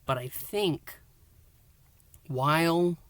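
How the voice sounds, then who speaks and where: A man's voice: a little high, very slow, a bit bright, smooth, dry recording. A man hosting a podcast, giving a casual solo review of an audio drama and thinking aloud with frequent restarts and asides.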